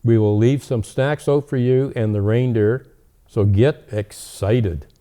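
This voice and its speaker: A man's voice: medium pitch, fairly even intonation, a little slow, warm tone, close to an everyday voice. A man playing kindly old Father Christmas as an avuncular co-host of a children's holiday show. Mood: reading aloud. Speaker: storytime co-host.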